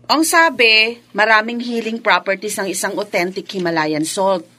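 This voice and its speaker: An actress playing an eager, chatty adult daughter in a Filipino radio drama, speaking emphatically and conversationally. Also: female voice, medium pitch, moderate pace, pushed and bright. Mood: eager, reassuring.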